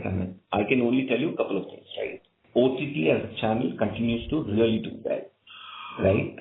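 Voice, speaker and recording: A man's voice: on the low side, creaky. A man speaking as a company executive on an earnings call, explaining business results and often checking in with "right?". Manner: frequent "right?" check-ins. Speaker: company executive answering analysts. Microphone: conference call line. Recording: phone-like narrow band, echoey conference line.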